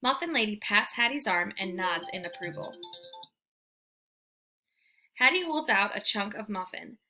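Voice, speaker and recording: A woman reading a children's book aloud as a storyteller, with expressive, emphatic delivery. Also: mid-range voice, unhurried, soft background music. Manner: animated, emphatic, theatrical delivery.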